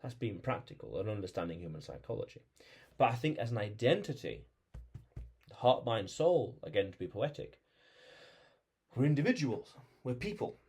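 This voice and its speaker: A man's voice: fairly low pitch, sing-song, moderate pace, long pauses, a little mellow, husky. A man vlogging alone, thinking aloud about identity, with frequent restarts and repeated phrases. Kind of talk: reflective solo monologue.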